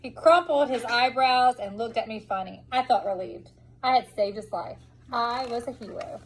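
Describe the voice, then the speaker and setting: A woman reading a children's picture book aloud in an expressive storytelling way, drawing out words for emphasis. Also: a little high, normal speed, rough recording. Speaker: storybook reader.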